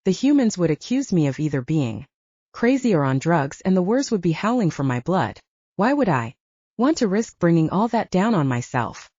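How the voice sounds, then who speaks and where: A woman's voice: lowish voice, very animated, normal speed, a little mellow, breathy edge, dry recording. A woman narrating fiction from a script as an audiobook reader. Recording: dull, lo-fi, dry studio-like sound.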